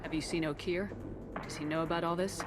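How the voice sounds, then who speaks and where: An actress playing a steady, principled military commander in a video game, firm, composed and direct. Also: female voice, on the low side, a little fast, music in the background, lightly acted. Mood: stern.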